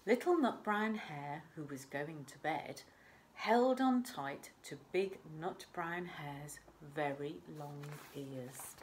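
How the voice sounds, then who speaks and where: Woman reading a bedtime story to little kids, lowish voice but swoops around loads, slow, bit of noise. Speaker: primary school storyteller.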